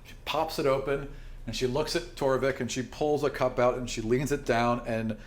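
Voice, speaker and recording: A man's voice: medium pitch, fairly even intonation, moderate pace. A man improvising conversational narration as the game master of a tabletop role-playing podcast. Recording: roomy echo, music underneath. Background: background music.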